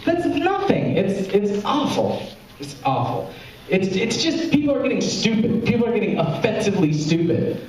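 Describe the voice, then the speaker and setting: A man's voice: sits high, big pitch swings, moderate pace, darkish tone. Rambling stand-up man in an echoey club. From Memphis, Tennessee, US. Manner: rambling, self-interrupting, laughs at own jokes. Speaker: stand-up comedian. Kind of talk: open mic stand-up. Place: small club, live audience. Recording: very echoey room, from far off.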